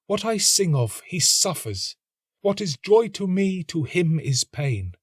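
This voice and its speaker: A man's voice: sits high, big pitch swings, slow, breathy edge, no room sound, clean studio sound, voice pushed up, exaggerated voice. A man voicing a tender female nightingale in a storybook, earnest and romantic, with a lyrical, wondering delivery. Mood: wondering, admiring. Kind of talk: storybook reading.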